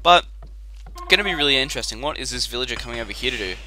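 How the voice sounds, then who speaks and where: A young man giving a chatty, rambling commentary on a game replay, his voice croaky and tired after a late night. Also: medium pitch, lively intonation, rapid-fire, background noise. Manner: chatty and rambling, with filler words.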